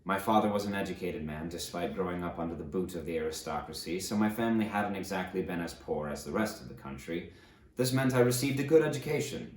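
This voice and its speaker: A man's voice: sits low, reverberant. A man playing an aged fallen tyrant, remorseful and grandiose, reading his confession in a solemn, dramatic audiobook style. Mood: reflective. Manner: solemn, dramatic, deliberate storytelling delivery.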